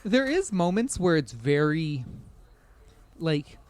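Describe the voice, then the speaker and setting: A man's voice: fairly high pitch, sing-song, takes it slowly. A man co-hosting a podcast in casual discussion, talking in conversational, looping, hedged thoughts. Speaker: podcast co-host. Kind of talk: casual video game discussion.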